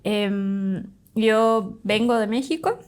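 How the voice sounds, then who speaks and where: A woman in her thirties, a doctor from Bolivia, telling her migration story in a chatty, animated way as a podcast guest. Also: mid-range voice, slow pace, clean tone. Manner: chatty, animated, fond of "pues" and "literal". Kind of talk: casual interview storytelling.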